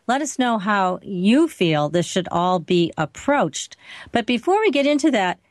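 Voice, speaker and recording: A woman's voice: mid-range voice, sing-song, measured pace, no echo, clean studio sound. A woman hosting a radio talk show, bubbly and effusive as she introduces upcoming guests and her guest.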